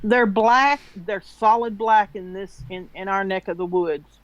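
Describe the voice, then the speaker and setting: A woman speaks slowly and haltingly in a casual online voice chat, often restarting her sentences as she recalls a story. Her voice is dry and close to the mic. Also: medium pitch, no echo, highs cut off. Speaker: caller. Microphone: computer mic in a voice chat.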